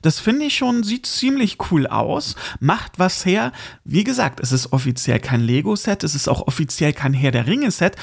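Male podcast host, fairly high voice with heavy creak, informal and chatty. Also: very animated, moderate pace, few pauses. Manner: chatty, informal, addresses listener directly. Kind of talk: casual solo podcast monologue with gift tips.

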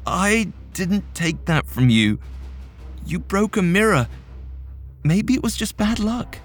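Man playing pompous young entrepreneur, panicky, pleading, voice pushed way up high, storybook reading. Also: very animated, slow, faint music behind, full character voice. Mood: nervous.

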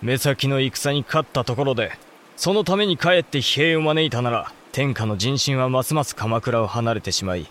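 A man's voice: medium pitch, normal speed, fairly bright tone, a little hiss or hum, lightly acted. A man playing a thoughtful samurai clan head in a Japanese historical audio drama, formal and composed. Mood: grave.

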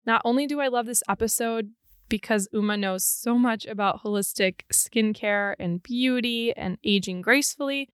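A woman's voice: on the high side, moderate pace, clean tone, no room sound, studio quality. A woman hosting a podcast, introducing her guest with upbeat enthusiasm. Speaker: podcast host.

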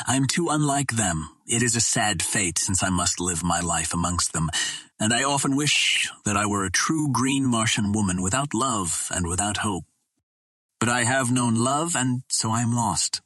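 A man voicing Sola, a lonely, gentle young female green Martian, speaking softly and wistfully in an audiobook. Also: on the low side, slightly rough, dry recording, exaggerated voice.

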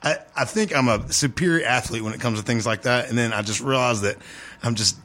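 A man's voice: mid-range voice, brisk, a bit bright. A man in his late thirties, a guest on a sports podcast, telling easygoing stories with lots of hesitations. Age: 30s. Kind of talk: casual sports podcast chat.

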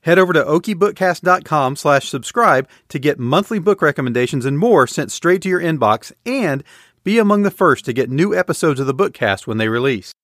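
A man's voice: fairly high pitch, animated, a little fast, fairly bright tone, no room sound, clean studio sound. A man hosting a book podcast, who reads an upbeat introduction and then asks questions with enthusiasm. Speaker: podcast host. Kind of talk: scripted intro then casual interview.

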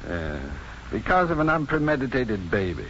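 An actor playing a self-assured, compassionate physician with a measured, dryly witty delivery in a 1950s radio drama. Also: male voice, medium pitch, big pitch swings, a bit bright. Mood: earnest. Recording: muffled, hissy 1950s radio recording.

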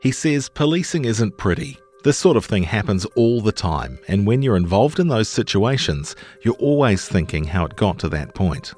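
A man reading a long-form news feature in a measured, articulate scripted narration style. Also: on the low side, sing-song, a little mellow, soft background music.

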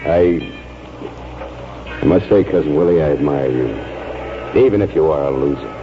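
A man acting a plain-spoken, folksy country cousin in a 1940s radio drama, talking haltingly. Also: rumbling low. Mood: reluctant admiration. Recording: dull 1940s radio, music behind.

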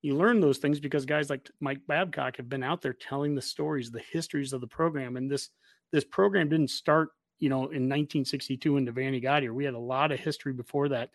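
A man's voice: mid-range voice, steady tone, a little fast, no room sound, clean studio sound. A man talking casually and effusively as a sports podcast host, full of enthusiasm for his subject.

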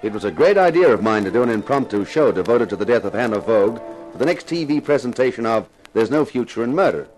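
A man's voice: medium pitch, lively intonation, fast talker, darkish tone, soft background music, lightly acted, low-quality recording. A man acting a cocky, glib TV detective, the wry lead and narrator of a 1950s radio drama. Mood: wry narrating. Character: wry, cocky TV actor playing amateur detective.

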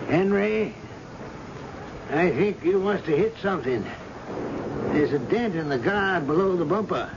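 An actor playing a folksy, shrewd small-town police chief who speaks with casual hesitations in a 1970s radio drama. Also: male voice, sits high, lively intonation, a little slow, pauses often. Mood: casually probing. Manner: hesitant, falsely casual questioning. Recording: dull, noisy old radio broadcast recording.

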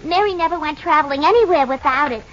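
An actress playing an excitable, chatty young showgirl in an old American radio drama, breathless and wide-eyed. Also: high voice, quick pace. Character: excitable young showgirl musician, plain-spoken and impressionable. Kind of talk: 1940s-50s radio acting. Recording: muffled, hissy old radio recording.

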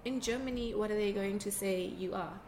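Animated woman telling stories, roomy sound. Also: mid-range voice, fairly quick, a little hiss or hum. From South Africa. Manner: animated storyteller, acts out quoted lines. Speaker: guest artist. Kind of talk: casual conversation. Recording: roomy, faint ambience.

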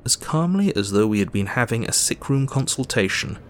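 A man's voice: medium pitch, expressive, moderate pace, a little hiss or hum, lightly acted. An actor playing a composed doctor who narrates events from his journal in an audio drama.

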